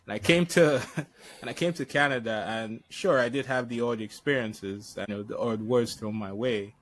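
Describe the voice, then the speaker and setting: A man's voice: mid-range voice, normal speed. A man who grew up in Nigeria, hosting a podcast interview and speaking hesitantly, often repeating words as he thinks. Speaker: podcast host. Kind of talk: conversational interview.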